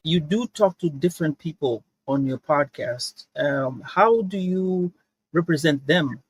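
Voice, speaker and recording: A man moderating a panel discussion, speaking in a measured, hesitant way as he hands over to a guest. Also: a little high, husky, low-quality recording.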